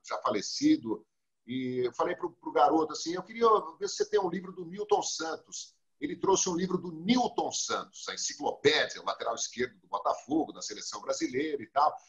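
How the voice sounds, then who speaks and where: A Brazilian man hosts a talk show over a webcam, spinning anecdotes and acting out what others said. His voice sits somewhat high for a man. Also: highs cut off. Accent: Brazilian Portuguese. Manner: storytelling, lively quoting of others. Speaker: host. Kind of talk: casual talk show chat. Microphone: video call webcam.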